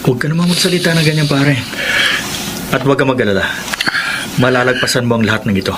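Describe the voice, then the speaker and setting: Guy acting a protective calming buddy, talks fast, radio drama, really noisy background. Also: medium pitch, close to an everyday voice. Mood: reassuring. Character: steady, protective, reassuring male friend. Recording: heavy background noise.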